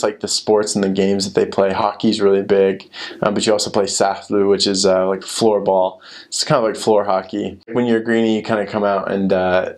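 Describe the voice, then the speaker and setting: Low, creaky-voiced young American man. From United States. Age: young adult. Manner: rambling, informal, frequent "like" and "you know". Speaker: former missionary sharing experiences. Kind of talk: casual chat.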